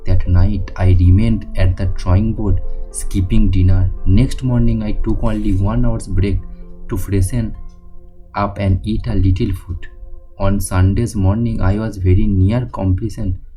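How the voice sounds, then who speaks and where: A man reading an autobiography aloud, halting, with frequent slips and restarts. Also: fairly low pitch, steady tone, warm tone, slightly rough, soft background music, rough recording. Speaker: audiobook reader.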